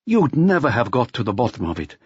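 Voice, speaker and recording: A man voicing a hoarse, bitter, drunken swindler coming apart with nerves in an audiobook. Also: mid-range voice, sing-song, a little fast, darkish tone, no room sound, muffled recording. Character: drunken, bitter, nervous male Latvian swindler, unravelling.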